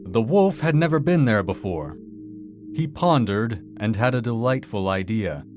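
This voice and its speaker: Deep-voiced man narrating a dark tale. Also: lively intonation, a little slow. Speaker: storyteller. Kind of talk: dramatic scripted fairy-tale narration. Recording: music behind the voice.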